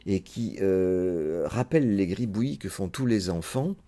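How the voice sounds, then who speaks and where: A man's voice: low voice, animated, unhurried, warm tone. A man giving an unscripted spoken lecture as a psychoanalyst, thinking aloud and hesitating with frequent fillers.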